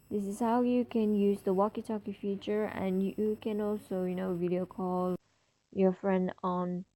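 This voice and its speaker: A woman hosting a step-by-step app tutorial, explaining in halting, explanatory phrases. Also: medium pitch, warm tone, rough recording. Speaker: tutorial host.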